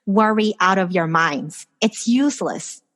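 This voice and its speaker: A woman's voice: medium pitch, lively intonation, a little slow, a bit bright. A woman life coach speaking as a guest over a remote call, upbeat and encouraging, often ending her points with "right?". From far from the host's country. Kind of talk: casual interview chat.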